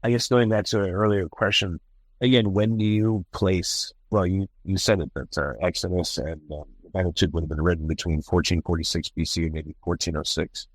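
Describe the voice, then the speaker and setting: Deep-voiced podcast host, hesitant. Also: male voice, steady tone, brisk, no echo, rough recording. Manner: hesitant, restarts his questions. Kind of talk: interview questions. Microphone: podcast microphone.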